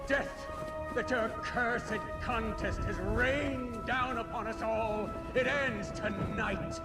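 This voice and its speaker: A fanatical, vengeful preacher in a game cutscene. He delivers his sermon extremely slowly in a high, fervent voice with ominous pauses. Music plays clearly behind him. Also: steady tone, exaggerated voice. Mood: ominous fervour. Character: fanatical male doomsday preacher vowing vengeance. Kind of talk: theatrical sermon monologue. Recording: loud background music. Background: cinematic music.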